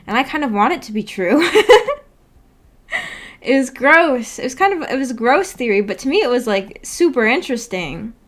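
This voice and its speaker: A woman hosting a casual livestream discussion, musing tentatively out loud with a giggly manner. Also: fairly high pitch, animated, moderate pace. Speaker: host of a book club stream.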